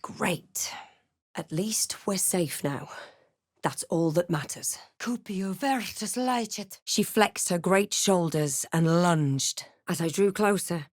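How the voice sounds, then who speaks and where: Casting: a wry, watchful female adventurer for a fantasy game, measured dramatic delivery, dry studio sound. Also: on the low side, animated, no echo. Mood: quiet, subdued, then incanting spells. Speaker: player character voice in a fantasy role-playing game. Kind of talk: scripted game voice lines and narration.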